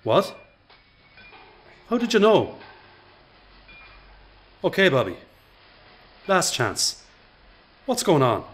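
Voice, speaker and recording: Actor as anxious accused working man, animated pitch. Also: very slow, long pauses, full character voice. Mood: desperate, accusing. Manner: earnest, agitated, stammering. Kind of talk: radio play acting.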